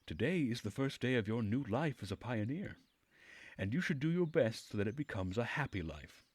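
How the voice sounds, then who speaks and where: Guy doing a bossy earnest camp leader lady, lecturing, higher pitched, visual novel style. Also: animated, moderate pace, a little mellow, husky, no echo. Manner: scolding, lecturing.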